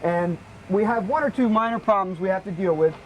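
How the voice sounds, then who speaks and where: A man's voice: high voice, a little fast, a little hiss or hum, rough recording. A man giving casual, practical technical explanations outdoors as a hands-on expert. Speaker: boat rigger, expert guest. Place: outdoors on a boat.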